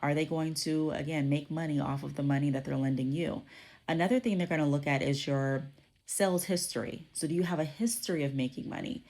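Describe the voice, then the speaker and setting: A woman with a low voice, stuffy and congested from a cold, talks through a solo podcast episode. She explains things in a relaxed, conversational way, pausing on fillers and checking in with her listeners. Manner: conversational, frequent "um" and "okay?" check-ins. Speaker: podcast host.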